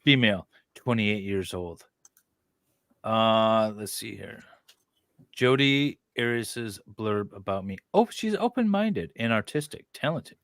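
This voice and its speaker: A man hosting a casual talk, with a bright, forward voice at a middling pitch. He reads aloud and drops mocking asides, recorded close and dry. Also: dry recording. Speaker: host. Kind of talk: casual chat with read-out web page and commentary.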